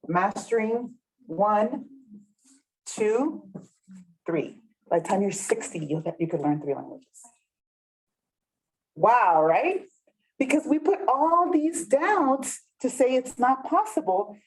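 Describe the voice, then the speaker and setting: A woman whose first language is Spanish runs a community workshop with a fairly high voice. Her pitch jumps around a lot as she talks. She chats with the group and keeps asking whether they follow. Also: expressive, slow, pauses often, low-quality recording. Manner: chatty, often asks "right?" to check in. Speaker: workshop facilitator. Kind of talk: interactive workshop teaching. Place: meeting room, with some people online.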